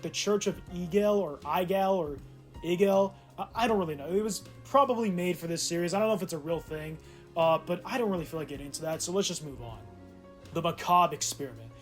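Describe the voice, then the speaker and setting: Male video narrator, higher-pitched voice, irreverent and sarcastic, casual scripted delivery with loose asides, light music underneath. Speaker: video essay host.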